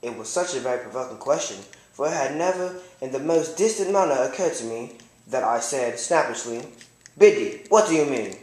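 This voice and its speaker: A man's voice: medium pitch, expressive, moderate pace, darkish tone, lightly acted. A man playing a proud, condescending young man, reading first-person literary narration and dialogue formally in an amateur puppet show. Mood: snappish. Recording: echoey room.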